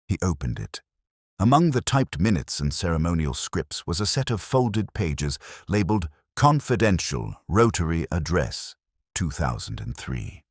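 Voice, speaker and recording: Very deep, dramatic male storyteller. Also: sing-song, a little slow, slightly rough, no echo, clean studio sound. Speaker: story narrator. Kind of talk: scripted mystery audiobook narration.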